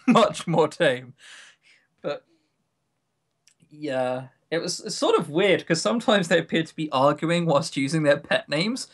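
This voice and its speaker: A man's voice: a little high, expressive, unhurried, pauses often, fairly bright tone. A man chatting casually as a podcast co-host, giving opinions with wry, coarse humour. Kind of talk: casual chat reviewing games.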